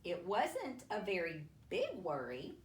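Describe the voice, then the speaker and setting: A woman reading a children's storybook aloud to families, with expressive, deliberate delivery and drawn-out emphasis. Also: on the low side. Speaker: school superintendent reading a picture book aloud to families. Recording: roomy, distant mic with faint noise.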